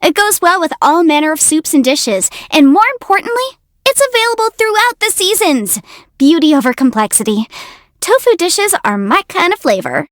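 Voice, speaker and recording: A woman voicing a young, quick-witted half-immortal legal adviser in a video game, articulate, self-assured and playful. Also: extremely high pitch, big pitch swings, dry recording, studio quality. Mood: playful, proud. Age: young adult. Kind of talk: video game character voice lines.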